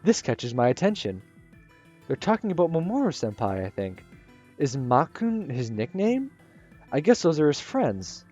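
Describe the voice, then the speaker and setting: A man reading the inner thoughts of a shy, anxious one-eyed teenage girl in a visual novel, soft and hesitant. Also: on the high side, big pitch swings, moderate pace. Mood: wistful. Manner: soft, hesitant narration with restarts. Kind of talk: first-person visual novel narration. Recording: slightly muffled with soft music.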